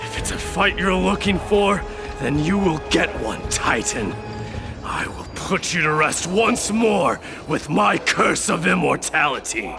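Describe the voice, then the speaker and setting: An actor playing a stern young male warrior prince in a game, speaking in a calm, reserved, clipped way. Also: a little high, a little slow, vocal fry, exaggerated voice. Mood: cold defiance. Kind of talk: game voice acting. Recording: music under the voice.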